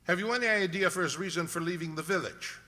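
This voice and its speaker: A man playing a composed, analytical gentleman detective, measured and polite, in an amateur radio drama. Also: high-pitched. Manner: measured, polite, dryly authoritative. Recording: long hall-like reverb.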